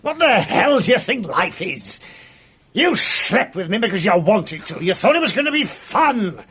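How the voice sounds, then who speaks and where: A man's voice: high voice, big pitch swings, normal speed, fairly bright tone, breathy edge, no room sound, exaggerated voice. An actor playing an evasive middle-aged married man with a British accent in a naturalistic radio drama, speaking in an offhand, dryly understated way. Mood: indignant. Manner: offhand, hesitant, dry understatement. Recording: faint hiss on archive radio recording.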